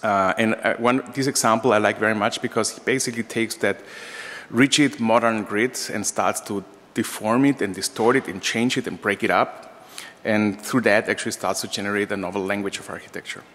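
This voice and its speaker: A man's voice: on the low side, steady tone, brisk, fairly bright tone. A man in his forties from Vienna with an Austrian accent, an architecture professor giving an informal lecture at a lectern, phrasing things hesitantly. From Austria, raised in Vienna. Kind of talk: lecture to an audience. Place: large room, indoors, at a lectern. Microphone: gooseneck lectern microphone. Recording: reverberant lecture hall.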